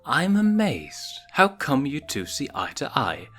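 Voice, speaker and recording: A man voicing a motherly, curious adult lioness who questions and gently teases, in a read-aloud story. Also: fairly high pitch, wildly swooping pitch, measured pace, soft background music, voice pushed up, exaggerated voice.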